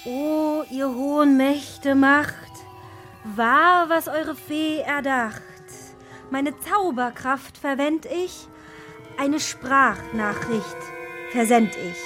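A woman's voice: high-pitched, drawn-out words, fairly bright tone, soft background music, exaggerated voice. A woman playing a bossy, bungling forest fairy in a children's radio play, dry and matter-of-fact with a theatrical streak. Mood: incanting.

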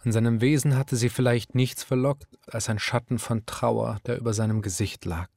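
An actor as the composed, serious narrator of a literary German radio drama. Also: male voice, medium pitch, fairly even intonation, creaky, darkish tone, no echo, clean studio sound. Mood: analytical. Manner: measured, serious. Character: composed literary narrator observing a troubled wife.

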